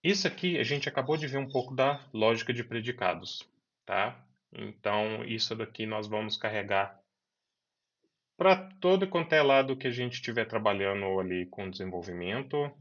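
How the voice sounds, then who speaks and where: A man's voice: fairly low pitch, normal speed, a bit bright. A man teaching a programming lesson, talking students through each step and repeating key words. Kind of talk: screen-recorded coding lesson. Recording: dull lo-fi voice recording.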